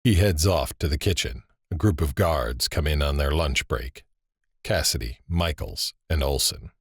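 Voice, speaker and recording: A man narrating a scripted audio drama with deliberate, suspenseful storytelling. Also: extremely low pitch, breathy edge, no echo, clean studio sound.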